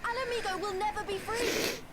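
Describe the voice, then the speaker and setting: A woman's voice: very high-pitched, steady tone, a little fast, noisy background. An actress playing an outspoken, idealistic girl adventurer in a video game, blunt and accusing. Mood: indignant. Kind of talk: video game cutscene voice acting.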